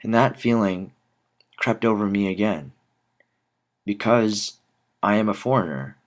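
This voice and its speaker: A young American man delivering a rehearsed personal story in measured, deliberate phrases with dramatic pauses. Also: fairly low pitch. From Dallas, Texas, United States. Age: young adult. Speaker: student art teacher telling a personal story. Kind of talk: rehearsed spoken personal narrative.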